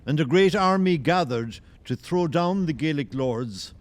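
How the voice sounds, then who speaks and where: An actor playing a grave, disapproving chronicler of Gaelic clans, narrating an audio play in a solemn, declamatory way. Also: male voice, on the high side, animated, a little slow.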